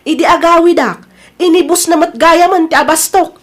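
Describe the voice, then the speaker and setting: A woman acts a young Filipino elder sister in an audio drama. Her voice is high, and she sounds hard-working, put-upon and easily irked. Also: moderate pace. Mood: irritated. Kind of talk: dramatised audio story acting.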